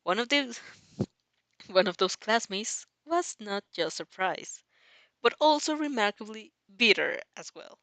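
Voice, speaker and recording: A woman's voice: medium pitch, animated, measured pace, a bit bright, no room sound. A woman narrating a fan story informally, with a giggly manner and stumbles over the lines. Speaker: fanfic reader.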